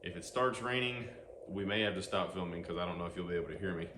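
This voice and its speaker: A man's voice: low-pitched, quick pace. A man, hosting a casual workshop vlog and chatting while he works on an engine in an outdoor garage. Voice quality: loud voice. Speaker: channel host. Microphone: camera microphone, no external mic. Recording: roomy, noisy workshop ambience.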